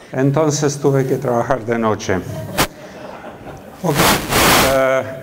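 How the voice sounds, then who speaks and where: A man's voice: medium pitch, noisy background. A middle-aged man from Romania with a Romanian accent, giving a conference keynote talk with frequent hesitant fillers. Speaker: keynote speaker. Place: conference hall.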